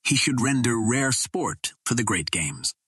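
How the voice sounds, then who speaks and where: Man voicing a menacing scarred Martian warrior chieftain, husky. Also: medium pitch, expressive, measured pace, no echo, clean studio sound. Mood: pleased, grim. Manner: loud, menacing, formal archaic speech.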